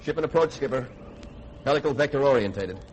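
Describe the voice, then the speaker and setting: A man playing a dutiful starship navigator in a 1950s radio drama, reporting in crisp procedural phrases. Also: medium pitch, animated, brisk, a little mellow, close to an everyday voice. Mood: dutiful, focused. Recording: noisy 1950s radio broadcast recording.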